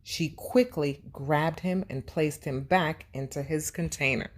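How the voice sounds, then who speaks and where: A woman's voice: deep voice, a little slow. A woman hosting a children's read-aloud and lesson, speaking expressively and addressing young listeners directly.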